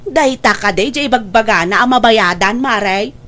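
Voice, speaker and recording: A cautious village auntie acted by a woman with a very high voice. She talks in an Ilocano accent, hesitant and plaintive, in dialogue from an audio drama. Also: moderate pace, fairly bright tone, close to an everyday voice. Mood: concerned.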